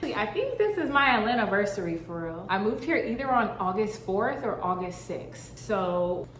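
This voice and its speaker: A woman's voice: mid-range voice, big pitch swings, normal speed, a bit bright, slight background noise. A young woman from Boston, founder of a fashion brand, talking casually and playfully to the camera with plenty of fillers. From Boston, Massachusetts. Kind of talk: casual vlog talk to camera. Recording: echoey room with faint ambience.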